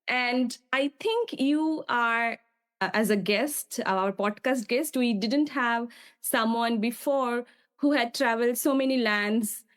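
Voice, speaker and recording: Host: woman, fairly high voice, earnest and measured, echoey room sound. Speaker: podcast host. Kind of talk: scripted intro then interview questions.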